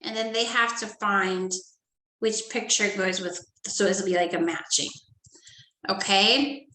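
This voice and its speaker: A woman teacher reading a story to young children and going over a study guide, talking gently and checking in with "okay?" often. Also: mid-range voice, steady tone, unhurried, reverberant, low-quality recording. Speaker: first grade teacher.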